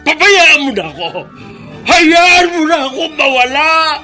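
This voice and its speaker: A man's voice: extremely high pitch, unhurried, pushed and bright, soft background music, exaggerated voice. An older man with a Filipino accent playing a stubborn, self-pitying, sickly elderly father in a radio drama. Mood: anguished despair. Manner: obstinate, self-pitying, complaining.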